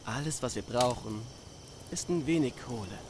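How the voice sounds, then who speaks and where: A man's voice: medium pitch, expressive, moderate pace, noisy background, natural voice. A man voicing a laid-back, dreamy young drifter in a video game fan dub, slangy and musing. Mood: dreamy, playful. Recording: night crickets and outdoor ambience behind. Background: cricket and insect ambience.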